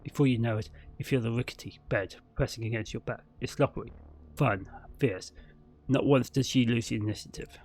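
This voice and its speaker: A man's voice: medium pitch, fairly quick, darkish tone, soft background music. A man reading a visual novel aloud as a narrator, in a dramatic storytelling style with the odd stumble over words. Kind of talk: scripted narration read aloud.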